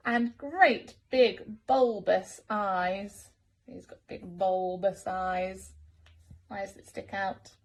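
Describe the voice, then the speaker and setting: Unhurried woman reading to children. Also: medium pitch, rough recording. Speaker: teacher reading a story. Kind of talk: children's picture-book storytime.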